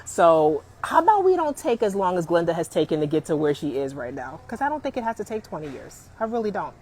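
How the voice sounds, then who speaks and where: A woman in her forties giving career advice on a live stream, emphatic and animated, often calling out to viewers and laughing. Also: fairly low pitch, quick pace, a little hiss or hum. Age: 40s.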